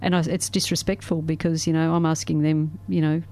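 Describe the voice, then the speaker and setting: A woman's voice: sits low, level tone, brisk. Rambling woman radio host. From Netherlands. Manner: warm-hearted rambling, many "you know" fillers. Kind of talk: casual radio hosting.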